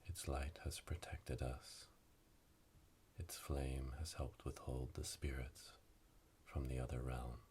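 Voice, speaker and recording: Man as a slow, whispering, solemn coven witch. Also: extremely low pitch, slight background noise. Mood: solemn, hushed. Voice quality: breathy whisper, monotone. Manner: slow, hushed, ceremonial whispering. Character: solemn witch elder leading a coven initiation. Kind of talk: whispered ASMR roleplay. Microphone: close binaural microphone.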